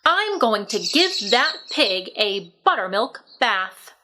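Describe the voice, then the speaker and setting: A brisk farmer's wife from a children's storybook, acted out by a woman reader. Her voice is bright and forward, and she takes her time over her words. She is determined and emphatic, with no patience for argument. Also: on the high side, very animated, a little hiss or hum, full character voice. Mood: decided, matter-of-fact.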